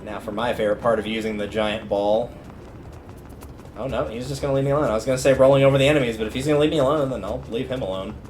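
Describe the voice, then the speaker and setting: A man's voice: mid-range voice, racing, darkish tone. A man giving casual live commentary on a video game, thinking aloud in colloquial language. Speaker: game livestreamer. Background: video game music.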